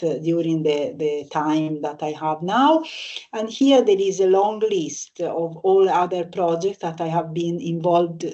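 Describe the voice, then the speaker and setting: A woman's voice: fairly low pitch, mellow, highs cut off. A woman in her fifties from Italy with an Italian accent, a professor presenting on a panel with many hesitations. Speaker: computer science professor.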